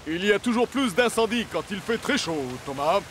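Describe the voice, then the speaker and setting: Earnest, very high cartoon fire engine. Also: male voice, lively intonation, a little fast, a bit bright, a little hiss or hum. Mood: concerned. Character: earnest, heat-weary male fire engine. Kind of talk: children's cartoon dub.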